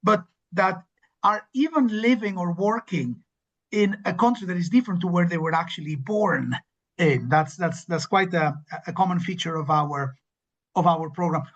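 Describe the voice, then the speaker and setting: A man's voice: high voice, normal speed, fairly bright tone, highs cut off. A man speaking as an academic director in a webinar information session, fluent and emphatic, often repeating words as he builds a point. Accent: non-local, not from the host city.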